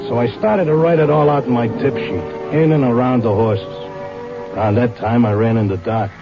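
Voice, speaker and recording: An actor playing a wisecracking, stubborn American racing tipster in a radio drama, talking and narrating in plain slang. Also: male voice, medium pitch, fairly even intonation, moderate pace, close to an everyday voice. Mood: weary, urgent recounting. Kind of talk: mid-century radio drama acting and first-person narration. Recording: dull vintage radio recording with music.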